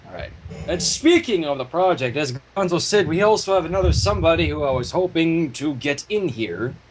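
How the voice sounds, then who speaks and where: A man's voice: on the high side, lively intonation, fairly quick, a little hiss or hum, low-quality recording. A man chatting casually on a livestream over an online voice call. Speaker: animator and livestream guest.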